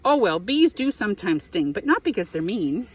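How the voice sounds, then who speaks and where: A woman's voice: medium pitch, big pitch swings, moderate pace, husky. A woman reading a picture book aloud to young children, expressively, with explanatory asides. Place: outdoors in an apiary.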